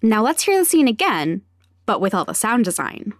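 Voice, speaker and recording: A woman's voice: on the high side, very animated, moderate pace, slight background noise. A woman hosting a podcast, giving scripted explanations with wry, self-deprecating asides. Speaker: podcast host and sound designer.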